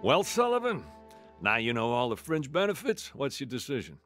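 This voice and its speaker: An actor playing a calculating mob boss in a radio drama, businesslike and commanding. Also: male voice, medium pitch, huge pitch swings, moderate pace, music in the background. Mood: curt, commanding. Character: smooth, calculating mob boss, a grown man.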